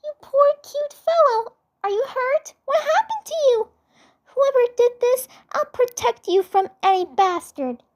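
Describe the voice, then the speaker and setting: A woman's voice: very high voice, lively intonation, unhurried, darkish tone, pitched above the performer's own voice. A woman voicing a sweet, innocent, affectionate young cartoon superheroine with a tender, cooing delivery. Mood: tender concern.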